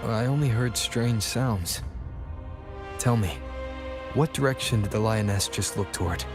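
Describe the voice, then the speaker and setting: Quiet male wanderer hero played by an actor, lowish voice, understated, loud game score behind. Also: a little slow, natural voice. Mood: calm, inquiring. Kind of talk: restrained video game voice acting. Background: loud game music.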